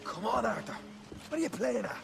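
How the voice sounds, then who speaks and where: An actor playing a chatty, cheeky young Irish outlaw in a video game, with a glib, rambling way of talking. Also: male voice, extremely high pitch, very animated, fairly quick, gravelly, noisy background, full character voice. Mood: cocky, brash. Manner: rambling, stammering, glib sweet-talk. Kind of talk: video game cutscene acting. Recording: music behind the voice.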